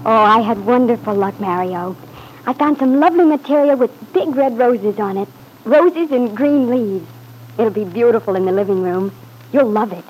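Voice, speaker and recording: An actress playing a sweet, anxious young wife, eager and placating, in an old-time radio soap opera. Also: female voice, fairly high pitch, moderate pace, darkish tone, a little hiss or hum, lightly acted, low-quality recording. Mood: eager, cheerful.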